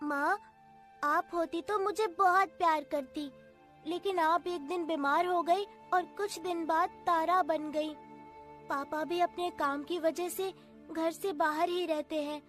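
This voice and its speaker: A woman playing a sweet, obedient young girl in an animated story, speaking in a gentle, earnest, childlike way. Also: extremely high pitch, slow, soft background music, exaggerated voice. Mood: sad, longing. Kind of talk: animated story character acting.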